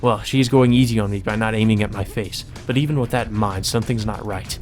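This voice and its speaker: A man's voice: on the low side, steady tone, quick pace, music in the background. A man reading visual novel narration aloud as a let's play narrator, in a dramatic storytelling manner.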